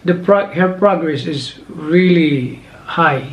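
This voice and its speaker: A man's voice: a little high, slow, dull audio. A man with a Filipino accent chatting casually at home about his family, rambling along with many restarts. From Philippines. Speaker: father and channel host. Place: home room. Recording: echoey room with faint noise.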